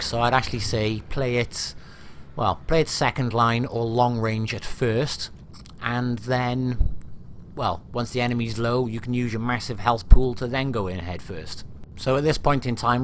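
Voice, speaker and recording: A man's voice: medium pitch, fairly bright tone, slight background noise. A man from South Wales with a Welsh accent, giving chatty, jokey and enthusiastic commentary over game footage as a reviewer.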